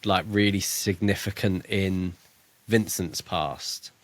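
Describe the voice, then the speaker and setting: A man with a fairly low voice, recorded dry and close. He talks casually as a podcast co-host, feeling his way through his thoughts with some hedging. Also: fairly even intonation, slow pace, dry recording. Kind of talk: casual book discussion.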